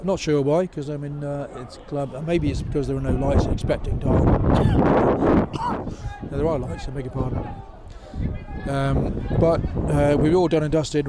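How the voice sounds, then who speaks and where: A hoarse, croaky man with a lilt commentates on a local rugby match in a mid-range voice. He talks in a chatty, self-deprecating way with plenty of ums and uhs. A crowd of spectators murmurs clearly around him in the stand. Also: mid-range voice. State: hoarse, losing his voice. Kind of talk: solo pre-match commentary for an online stream. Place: seated in a stand at an outdoor rugby ground.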